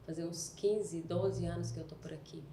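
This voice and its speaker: Woman, softened Mineiro accent, rambling storyteller, echoey room, faint ambience. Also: fairly low pitch, steady tone, normal speed, a little hiss or hum. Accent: Mineiro Brazilian Portuguese, softened. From Minas Gerais, Brazil. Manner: rambling, self-correcting storyteller. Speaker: guest, entrepreneur. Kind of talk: casual podcast chat.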